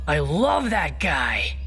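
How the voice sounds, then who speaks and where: A woman's voice: fairly low pitch, wildly swooping pitch, extremely slow, fairly bright tone, breathy edge, exaggerated voice. A woman playing an earnest, easily exasperated secret agent in a comic video game, melodramatic and incredulous. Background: game music.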